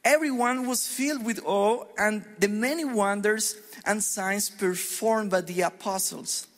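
A middle-aged man from Colombia with a Colombian Spanish accent, preaching a sermon in an earnest, measured way. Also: sits high, a bit bright. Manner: earnest, measured preaching with deliberate pauses on scripture. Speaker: pastor. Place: church hall. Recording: long hall reverb.

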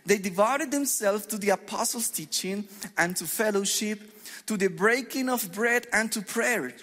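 A middle-aged man from Colombia with a Colombian Spanish accent, preaching a sermon in an earnest, measured way. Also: high-pitched, bright, forceful tone. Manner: earnest, measured preaching with deliberate pauses on scripture. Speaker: pastor. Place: church hall. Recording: long hall reverb.

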